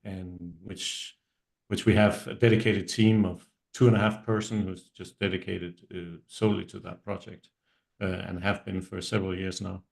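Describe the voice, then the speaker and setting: Halting Danish man on a video call. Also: deep voice, level tone, creaky. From Denmark. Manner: halting, many ums and restarts, trailing off quietly. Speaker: web agency owner, guest speaker. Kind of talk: virtual meetup self-introduction.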